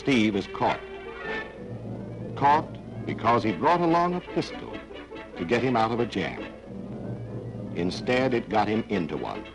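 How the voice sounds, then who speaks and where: A man narrating a 1940s training film in a dramatic, clipped style with deliberate pauses. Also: lowish voice, gravelly, poor audio. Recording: 1940s film soundtrack with faint music.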